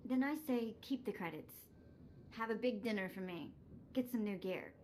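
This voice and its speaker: An actress playing a warm, independent young woman among old friends in a video game. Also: mid-range voice, normal speed, lightly acted. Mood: fond, resolved. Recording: game audio with faint background noise.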